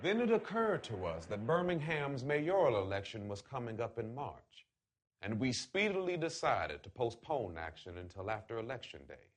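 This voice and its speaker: A man reads a written letter aloud in a solemn, oratorical way, with deliberate phrasing and emphatic pauses. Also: medium pitch, very animated. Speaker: reader of a letter.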